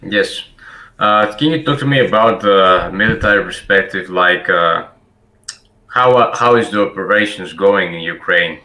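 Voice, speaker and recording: A man's voice: low-pitched, a little slow, sharp tone, echoey room, poor audio. A man from Greece, talking to a stranger in a casual video chat, hesitant and full of fillers.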